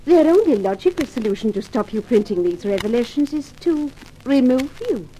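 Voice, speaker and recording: A woman's voice: fairly high pitch, animated, moderate pace, dark tone, natural voice. A woman playing an admiring, flirtatious nightclub performer in a 1950s radio drama, coy and solicitous. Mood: admiring, seductive. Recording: noisy old radio drama recording.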